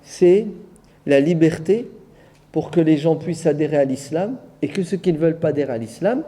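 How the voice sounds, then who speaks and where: A man's voice: a little high, expressive, normal speed, dark tone. A man preaching in an oratorical storytelling style, with rhetorical questions and emphatic repetition. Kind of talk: oratorical religious storytelling to an audience.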